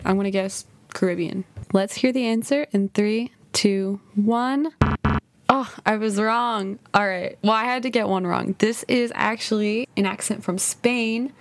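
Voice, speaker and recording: A young woman hosting a casual solo podcast, chatty and thinking out loud, often saying "kind of" and "like". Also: medium pitch, fairly even intonation, normal speed, no echo. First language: English. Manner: chatty, thinks aloud, many "kind of" and "like" hedges. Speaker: podcast host.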